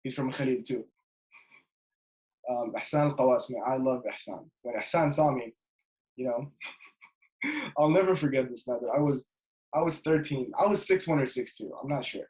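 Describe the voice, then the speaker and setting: A man in his twenties from Jordan, a basketball player chatting casually as an interview guest over a webcam call from his bedroom, relaxed and rambling with lots of fillers and restarts. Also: medium pitch, normal speed, pauses often, poor audio. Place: bedroom at home, indoors. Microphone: webcam video call.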